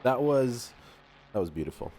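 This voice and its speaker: A man's voice: mid-range voice, sing-song, moderate pace, dark tone, slight background noise. A nasal-sounding man with a cold, giggling often as he commentates a live sim race. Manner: giggly, laughs often, playful. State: has a cold.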